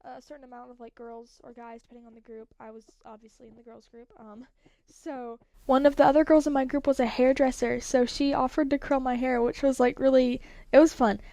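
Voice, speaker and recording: Rambling teen girl with a high voice. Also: steady tone, a little fast, warm tone, dry recording. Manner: rambling, many "like" fillers. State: congested from a cold. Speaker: vlogger. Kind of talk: casual storytelling.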